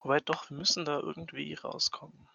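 A man's voice: fairly high pitch, little pitch movement, a little fast, gravelly, sharp tone, breathy edge, no room sound. A man chatting casually as a player in a tabletop role-playing game, on a slightly dull recording.

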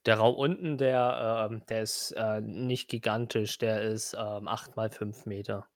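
Male game master, even delivery with little melody, hesitant improvised narration, dry recording. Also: fairly low pitch, unhurried, no echo.

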